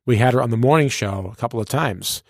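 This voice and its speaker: A man with a medium-pitched voice talks like a radio host in relaxed conversation. He is effusive and emphatic, dropping in "you know" as he goes. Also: fast. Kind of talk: casual, conversational interview talk.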